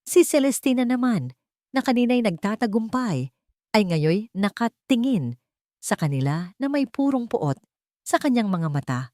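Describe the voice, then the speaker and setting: A woman narrating a scripted romance story in a deliberate, emotive, dramatic way. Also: fairly high pitch, sing-song, darkish tone, no echo, studio quality.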